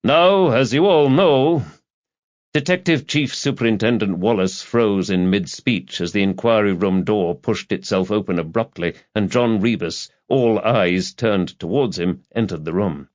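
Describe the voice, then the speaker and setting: A man's voice: lowish voice, moderate pace, few pauses, highs cut off. A man narrating a scripted crime novel as an audiobook reader.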